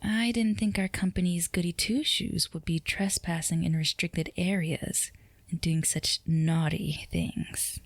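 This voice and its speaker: Woman acting a low-voiced, flirtatious, dominant boss. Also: fairly even intonation, measured pace, no echo. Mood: sly, teasing. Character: flirtatious, dominant female boss, teasing and self-assured. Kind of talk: intimate roleplay ASMR audio drama.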